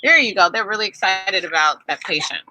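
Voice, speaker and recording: A woman's voice: medium pitch, brisk, poor audio. A woman reading a children's book aloud expressively, breaking off to chat and put questions to the children listening. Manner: animated, asks the children questions as she reads. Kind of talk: expressive read-aloud with conversational asides to children.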